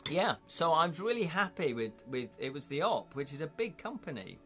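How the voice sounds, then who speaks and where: A man's voice: on the high side, sing-song, fairly quick, sharp tone, faint music behind. A man with an English accent hosting a casual live stream, chatting and thinking aloud as he reads viewer comments. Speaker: livestream host.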